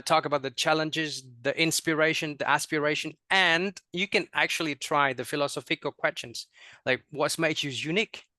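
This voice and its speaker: Young Vietnamese-accented male teacher trainer on a video call; dry close sound, halting delivery with frequent "you know". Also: a little high, sharp tone, no echo. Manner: many fillers and repeated words, checks in with "right?". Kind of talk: online workshop presentation.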